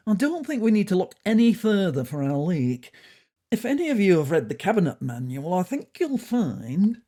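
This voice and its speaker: A woman plays a pompous male cat from a comedy audio story, grumpy and self-righteous. The voice is husky and lifted, swinging indignantly up and down as he holds forth. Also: fairly low pitch, very animated, moderate pace, mellow, voice pushed up.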